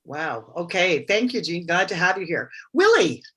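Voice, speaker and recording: This woman hosts an online networking call and calls each guest by name. Her tone is bright and a little husky. Her pitch moves a lot as she keeps things moving. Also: sing-song, moderate pace. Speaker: webinar host. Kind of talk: casual online networking meeting.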